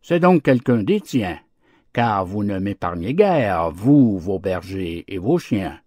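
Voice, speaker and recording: Man voicing a cruel, imperious, lively-pitched storybook wolf. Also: slow, no room sound, studio quality, exaggerated voice. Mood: vengeful. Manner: accusing, imperious. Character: hungry, cruel male wolf bullying the weak.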